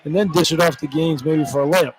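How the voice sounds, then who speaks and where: A man with a somewhat high voice gives conversational analysis during a basketball broadcast. He is informal and opinionated. The arena is clearly loud behind him. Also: quick pace, a little hiss or hum, poor audio. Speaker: radio color analyst. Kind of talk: conversational basketball color commentary. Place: courtside in an indoor arena. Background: loud game ambience.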